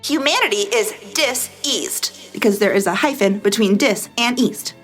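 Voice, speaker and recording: A woman's voice: mid-range voice, normal speed, a bit bright, faint music behind. A woman co-hosting a podcast, speaking in a theatrical, emphatic and sardonic way. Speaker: podcast co-host. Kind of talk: mock-dramatic reading of tweets, mixed with casual chat.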